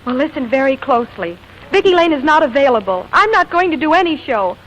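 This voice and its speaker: High-voiced, spirited leading lady. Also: lively intonation, quick pace, fairly bright tone, rough recording. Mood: angry. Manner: tart, dramatic. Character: spirited, quick-tempered woman performer, tender when in love. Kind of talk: 1940s radio comedy acting. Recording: faintly hissy 1940s radio broadcast recording.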